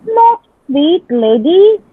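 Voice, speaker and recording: Woman as a very high-pitched, ardent young envoy. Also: sing-song, no echo, exaggerated voice. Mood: courtly. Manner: declamatory, drawn-out, ardent. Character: ardent young gentleman envoy courting a lady. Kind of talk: dramatic reading of Shakespearean comedy. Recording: thin phone-like band, dry sound.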